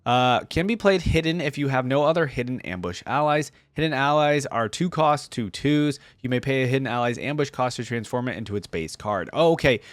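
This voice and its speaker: A man's voice: mid-range voice, lively intonation, brisk, hardly any pauses, no room sound. A man giving casual gaming reaction commentary, rambling and easily impressed as he thinks aloud. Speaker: reaction video host. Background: faint music.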